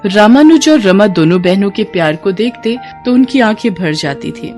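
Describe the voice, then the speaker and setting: A woman's voice: mid-range voice, lively intonation, moderate pace, darkish tone, faint music behind. A woman narrating a scripted moral bedtime story.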